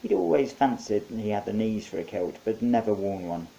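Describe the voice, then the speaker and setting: A man's voice: lowish voice, lively intonation, moderate pace, gravelly, warm tone, poor audio. A man narrating his own short story in a wry, conversational storytelling manner. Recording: faint background hiss.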